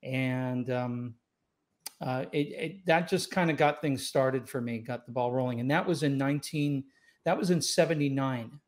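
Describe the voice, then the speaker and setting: A man's voice: medium pitch, normal speed. Easygoing older man reminiscing on a video call. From born in Scotland, raised in Los Angeles. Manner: easygoing, reflective, many fillers and restarts. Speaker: saxophonist guest. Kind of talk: relaxed storytelling interview. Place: home room with wooden doors and shelves. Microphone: studio mic on boom arm, video call.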